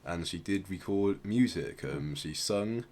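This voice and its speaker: Low-pitched man presenting a casual podcast. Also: lively intonation, measured pace, darkish tone. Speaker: podcast host presenting outsider music. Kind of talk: casual explanatory podcast chat. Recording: clear background noise.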